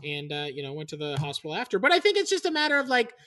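Chef guy with a high scratchy kid-like voice, super bright, rambles with lots of likes. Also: very fast. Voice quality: scratchy, boyish voice. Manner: excitable, rambling, lots of fillers. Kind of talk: casual chat.

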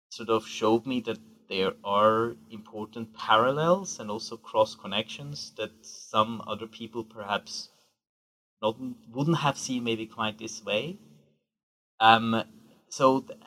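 A middle-aged man from Switzerland speaks as a guest author on a podcast. His voice sits low, yet its tone is bright. He fills his answers with hesitations and small hedges. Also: rough recording. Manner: hesitant, many fillers and hedges. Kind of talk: podcast interview.

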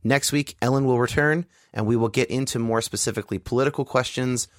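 A man's voice: medium pitch, fairly even intonation, no room sound, studio quality. A man hosting a podcast, talking earnestly and carefully as he introduces the episode and interviews a guest. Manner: earnest, measured, explanatory. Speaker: podcast host.

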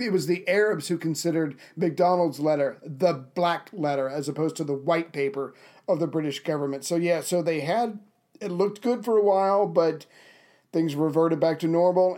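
A man co-hosting a podcast, tossing in quick, eager interjections and swearing often. His voice is notably high for a man. The talk is loose and casual. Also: a little mellow. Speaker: podcast co-host. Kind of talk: casual chat.

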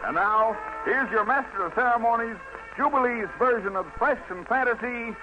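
High-pitched American 1940s radio announcer man. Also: moderate pace. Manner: showy, hep-talking patter. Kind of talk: 1940s radio variety show announcing in jive slang. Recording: vintage radio broadcast, muffled and thin. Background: music.

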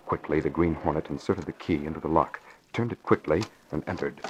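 A man's voice: rumbling low, a little fast, a little hiss or hum. A man announcing and narrating a 1940s radio show, emphatic and punchy in delivery. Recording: dull lo-fi old radio recording.

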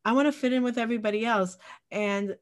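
A woman's voice: a little high, fast talker. A woman in her forties who grew up in Israel speaks as an interview guest. Her talk is reflective and casual, full of small restarts as she gathers her thoughts. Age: 40s. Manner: reflective, with frequent restarts and fillers. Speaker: podcast guest, community founder.

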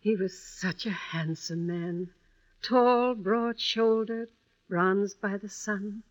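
An actress playing a kindly, wistful elderly widow in an old-time radio drama, gentle and hesitant, with fond endearments. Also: female voice, mid-range voice, takes it slowly, close to an everyday voice. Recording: dull vintage radio recording.

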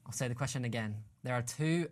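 A man's voice: medium pitch, quick pace. Man reading out football quiz questions. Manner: counting down and repeating questions. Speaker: quizmaster.

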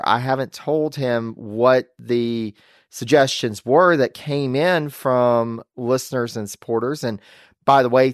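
A man's voice: medium pitch, clean tone, no room sound. A man hosting a history podcast in casual chat, upbeat and laughing often, on a close microphone. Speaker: podcast host.

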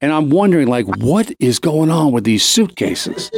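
Animated, higher-voiced man telling rambling stories. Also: moderate pace. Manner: rambling, self-interrupting, acting out dialogue. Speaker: show host. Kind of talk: casual comic anecdote storytelling.

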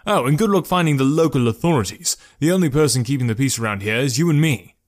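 A man's voice: mid-range voice, animated, quick pace, a little mellow, natural voice. A man voicing a self-assured male archer in a story, with a commanding, curt and dry delivery. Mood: angry.

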